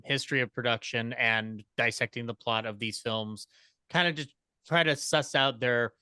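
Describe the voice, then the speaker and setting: Bright, clear-voiced man chatting on a panel. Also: mid-range voice, no echo. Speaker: podcaster, panelist. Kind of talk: casual panel chat.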